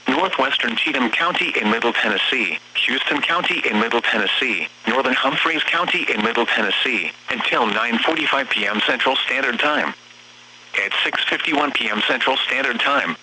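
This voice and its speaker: A man's synthetic voice reading scripted weather bulletins over the radio in a formal, measured, impersonal manner. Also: mid-range voice, pushed and bright. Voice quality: synthetic text-to-speech voice. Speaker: automated weather radio announcer. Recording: noisy, humming weather radio broadcast.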